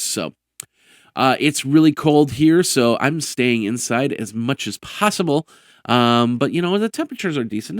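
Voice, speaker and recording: A hoarse man hosts a live tech news show from his desk mic, his voice raspy and a little worn. He talks with bouncy energy, rambling and filling gaps with "uh" and repeated words. The recording is dry and close, with no room sound. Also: medium pitch, dry recording. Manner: energetic, rambling with many fillers.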